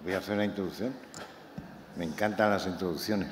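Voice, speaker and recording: A novelist from Málaga, presenting his book to an audience in a talk that is impassioned and halting, with many repeated words. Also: deep voice. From Málaga, Spain. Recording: echoey hall, faint room noise.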